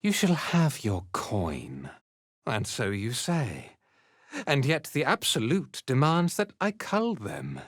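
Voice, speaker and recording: A man's voice: medium pitch, huge pitch swings, slow, no room sound, clean studio sound, heavily acted. A man playing an arrogant, cruel drow zealot commander in a fantasy video game, pompous and sneering with disdain. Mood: cold contempt.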